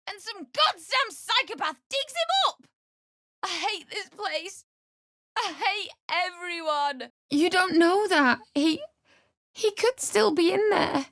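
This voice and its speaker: A woman's voice: extremely high pitch, a bit bright, no echo, studio quality, full character voice. An actress playing a desperate, bitter young widowed mother-to-be in a video game, with a British accent and an emotional, pleading delivery. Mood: bitter, snapping. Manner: emotional, tearful, pleading, prone to snapping. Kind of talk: video game character acting.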